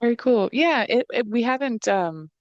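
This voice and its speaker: A woman's voice: a little high, animated, normal speed, dry recording, lo-fi sound. A young woman hosting a casual interview over a video call on a headset. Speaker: interviewer, show producer. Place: indoor room, on a video call.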